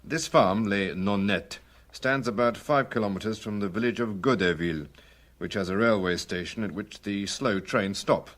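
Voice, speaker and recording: A methodical police superintendent in a radio crime play, lowish voice, formal and factual like reading a report. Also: male voice, moderate pace, fairly bright tone. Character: methodical, respectful male French police superintendent giving reports. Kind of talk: British radio crime drama acting.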